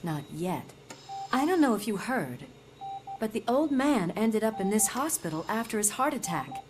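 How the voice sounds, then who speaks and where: A woman's voice: medium pitch, sing-song, moderate pace, lightly acted. A woman playing a loyal, concerned police partner in a video game, speaking earnestly and confidingly. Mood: resolute, weary. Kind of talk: video game cutscene acting.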